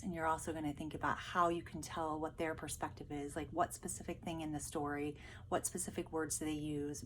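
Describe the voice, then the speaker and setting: A woman's voice: lowish voice, slight background noise. A woman teacher speaking casually to pupils, explaining and reading a storybook aloud. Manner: explanatory, addressing pupils directly.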